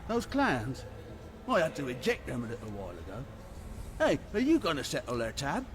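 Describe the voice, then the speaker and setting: This is a brash, shifty bar owner in a sci-fi game. He bargains and wheedles with animated intonation at a middle pitch. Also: normal speed, slight background noise. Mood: shifty, bargaining. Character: shifty, bargaining male space barkeeper in debt.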